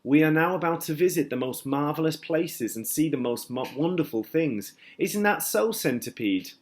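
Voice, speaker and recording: A man doing a gentle motherly ladybird. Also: on the high side, lively intonation, normal speed, pitched above the performer's own voice, lightly acted. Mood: kind. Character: gentle kind female ladybird.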